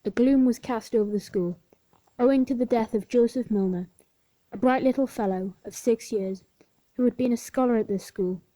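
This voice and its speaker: A woman's voice: on the high side, dark tone, no echo, close to an everyday voice, rough recording. A woman reading Victorian school logbook entries. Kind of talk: radio play reading of school log entries.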